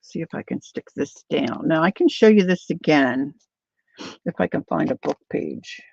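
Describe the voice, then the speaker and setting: An older woman chatting casually to viewers while she crafts, thinking out loud as she works. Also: sits low, animated, normal speed, gravelly, breathy edge, no echo. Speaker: craft livestreamer. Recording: dull lo-fi dry sound.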